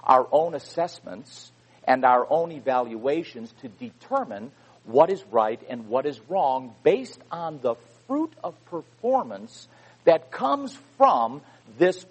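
Animated husky male preacher. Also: mid-range voice, unhurried, rough recording. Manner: animated, swings between loud emphatic reading and quiet asides. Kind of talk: sermon.

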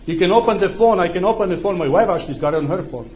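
A middle-aged man with a Polish accent, whose first language is Ukrainian, delivers a sermon in a chatty, back-and-forth way, often asking his listeners if they follow. His voice sits slightly high for a man. The church hall is roomy and echoey, with a faint hum of background noise. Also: lively intonation, racing, a little mellow, dull audio. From Poland. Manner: conversational, often checks in with listeners. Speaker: preacher. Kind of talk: sermon to a congregation.

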